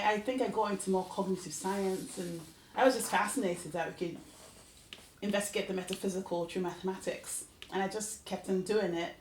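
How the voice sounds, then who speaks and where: A woman from Nigeria speaks earnestly and fluently about her background and inspirations. Her mid-pitched voice rings in an echoey room. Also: a little hiss or hum. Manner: earnest, flowing storytelling. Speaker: data scientist guest. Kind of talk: interview answers.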